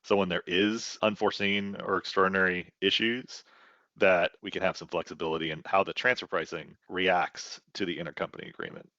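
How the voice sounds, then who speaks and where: A man's voice: sits low, level tone, fairly quick, pushed and bright, no room sound, dull audio. A man giving conversational expert commentary as a panelist, explanatory and leaning on key words for emphasis.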